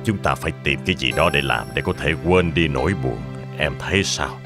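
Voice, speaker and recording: A man playing a gentle, agreeable old husband in a children's cartoon. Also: rumbling low, brisk. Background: children's music.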